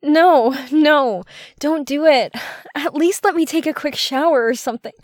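Slow-talking, coy girlfriend played by a woman. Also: sits low, darkish tone, no room sound, studio quality. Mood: flustered protest.

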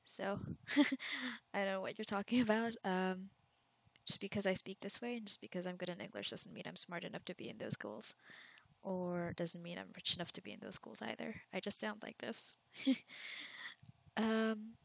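A woman's voice: mid-range voice, normal speed, creaky, dry recording. A young woman from the Philippines chatting candidly in a casual Q&A, rambling with frequent fillers and restarts.

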